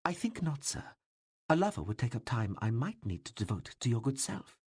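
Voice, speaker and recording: A male narrator voicing a composed woman court doctor who answers in a dry, sarcastic, measured way. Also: medium pitch, very animated, darkish tone, slightly rough, no echo.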